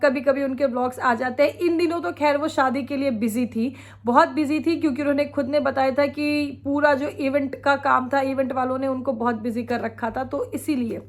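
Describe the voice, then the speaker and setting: A woman's voice: high-pitched, steady tone, moderate pace, hardly any pauses. A woman vlogger, chatting opinionatedly about other creators while she has a sore throat from a cold and laughs often. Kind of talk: casual reaction vlog commentary.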